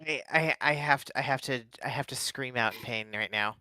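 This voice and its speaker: A man's voice: mid-range voice, fairly quick, bright, forceful tone, no echo. A man playing in a live tabletop game stream, curious and chatty. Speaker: player.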